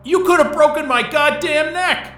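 A man acting in an audio drama as a panicked, exasperated, foul-mouthed man who speaks haltingly. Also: extremely high pitch, sharp tone, roomy sound, faint music behind. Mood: pained, angry. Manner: swearing, halting, agitated.

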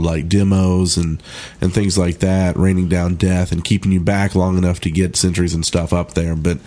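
Low-voiced man in casual gaming talk. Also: darkish tone. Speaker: podcast panelist. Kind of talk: casual game discussion.

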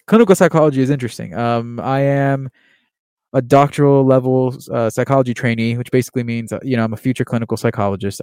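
A young man from Miami, Florida, talking earnestly and quickly in a casual monologue, with plenty of fillers and restarts. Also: mid-range voice, darkish tone, smooth, no room sound. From Miami, Florida, USA. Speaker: podcast host and baseball writer.

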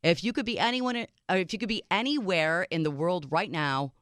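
A woman's voice: fairly low pitch, fairly quick, a bit bright, no echo, clean studio sound. A woman in her thirties from Minneapolis co-hosting a radio call-in show, playful and teasing, laughing often. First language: Serbian. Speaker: radio co-host. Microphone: studio microphone.

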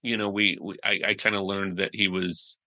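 A man chatting as a podcast guest, telling stories with animated restarts and trailing phrases. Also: deep voice, moderate pace. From United States. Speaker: guest musician. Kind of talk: casual interview chat.

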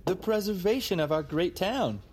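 A man's voice: high voice, animated, moderate pace, darkish tone, slightly rough. A man playing a polite, formal, easily flustered small-town museum guide in an audio drama. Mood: polite, eager.

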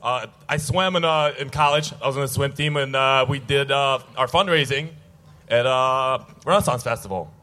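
A young man from Russia, a guest on a live podcast on a festival stage, talking with many hesitant pauses. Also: medium pitch, sharp tone. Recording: live stage with crowd noise.

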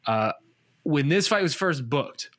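Mid-pitched man, dry close sound. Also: lively intonation, a little slow, fairly bright tone, no echo. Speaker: panelist on an MMA preview show. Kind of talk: casual sports discussion, fight prediction.

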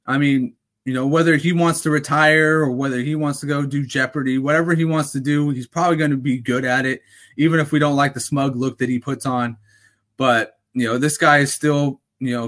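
A young man hosting a casual fantasy football talk, rambling with lots of fillers, his voice going a little raspy. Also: medium pitch, a bit bright. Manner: rambling, full of fillers and restarts. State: slightly raspy voice. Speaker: fantasy football podcast host.

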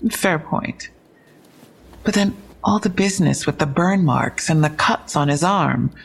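An actress playing a frank, inquisitive police investigator in an audio drama, matter-of-fact and wry, with a husky, creaky voice. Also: female voice, low voice, measured pace, full character voice. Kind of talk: full-cast audio drama dialogue.

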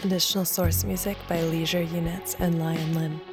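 A woman reading end credits in an even, measured way. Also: on the low side, music in the background. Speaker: credits announcer.

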